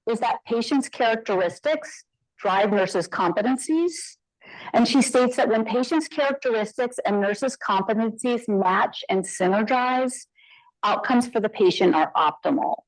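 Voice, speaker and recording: A woman's voice: mid-range voice, dry recording, rough recording. A woman nurse informaticist giving a conference presentation into a mic, conversational with frequent fillers and asides.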